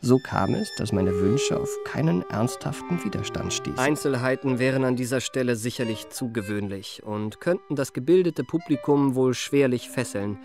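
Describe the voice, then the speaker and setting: A man's voice: mid-range voice, animated, normal speed, gravelly, hardly any pauses, over music. Ornately polite young male confidence man. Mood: smug, confiding. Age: young adult. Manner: ornate, elaborately polite, self-regarding diction. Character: eloquent, well-bred young male confidence man recounting his life. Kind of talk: literary radio play narration and dialogue.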